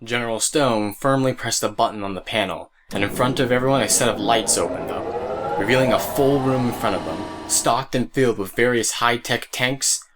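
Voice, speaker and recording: A man's voice: medium pitch, normal speed, background noise. A man narrating an audio drama as storyteller, with casual asides to the listener.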